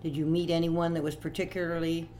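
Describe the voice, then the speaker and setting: A woman's voice: low voice, level tone, fast talker, warm tone. Woman interviewer on a noisy recording. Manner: prompting with simple questions. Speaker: interviewer, oral history librarian. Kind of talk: oral history interview. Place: interviewee's home.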